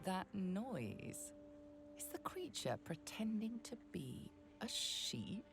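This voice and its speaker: A woman voicing an ominous, composed narrator of a fantasy video game in a measured, dramatic way. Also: medium pitch, very animated, music in the background. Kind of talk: scripted second-person game narration.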